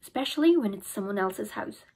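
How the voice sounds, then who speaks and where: Woman voicing gentle mother, soft, soothing, mildly firm, husky voice. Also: mid-range voice, expressive, a little fast, voice pushed down. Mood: scolding.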